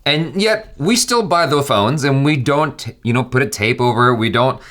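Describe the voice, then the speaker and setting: A man's voice: mid-range voice, lively intonation, moderate pace, fairly bright tone. A man, reacting to film trailers in casual, emphatic, rambling opinionated chat.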